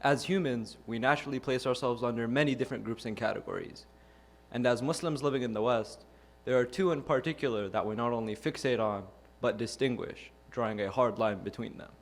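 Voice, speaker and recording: A young man from Iraq giving an earnest, measured prepared talk to a youth audience, posing rhetorical questions. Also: medium pitch. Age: young adult. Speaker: youth speaker. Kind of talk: prepared speech.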